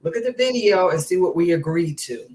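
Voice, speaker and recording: A woman guest in an interview who speaks calmly and softly, often filling her speech with "you know". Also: on the low side, fairly quick. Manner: soft, calm, leans on "you know" fillers. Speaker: guest, wellness coach. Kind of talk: casual interview conversation.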